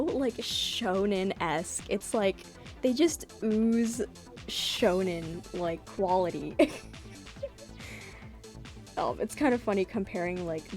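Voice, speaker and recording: A woman giving a casual dramatic reading with chatty commentary, animated and giggly as the comic's creator. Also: medium pitch. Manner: giggly, animated, self-amused. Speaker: webcomic creator reading their own comic.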